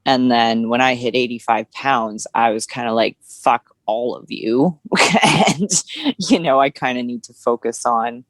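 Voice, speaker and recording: A woman's voice: extremely low pitch, a little slow, no echo. A woman co-hosting a podcast, talking frankly and casually with lots of fillers. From Canada. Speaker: podcast co-host. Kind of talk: candid conversational chat.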